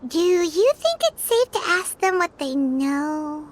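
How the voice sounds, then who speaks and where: A woman's voice: extremely high pitch, sing-song, slow pace, a little hiss or hum, full character voice. A woman voicing a small, chirpy, girlish travel companion who talks in a perky, eager way.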